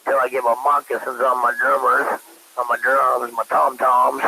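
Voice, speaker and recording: A man's voice: mid-range voice, little pitch movement, normal speed, vocal fry. A man chatting casually over CB radio in a joking, coarse manner. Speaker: CB radio operator. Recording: static-laden CB radio transmission.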